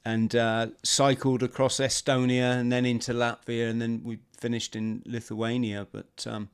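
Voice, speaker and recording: Smooth-voiced, hesitant podcast host man. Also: mid-range voice, flat delivery, normal speed. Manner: hesitant, many restarts and fillers. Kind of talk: casual interview chat.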